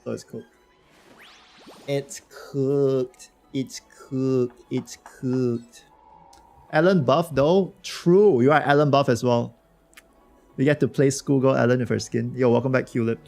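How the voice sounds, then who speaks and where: A man streaming a game with a hoarse voice from a cold, chatting loosely and slangily with his viewers. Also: medium pitch, fairly even intonation, slow, darkish tone. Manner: slangy, rambling, talks to chat. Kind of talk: casual livestream chat reacting to a game.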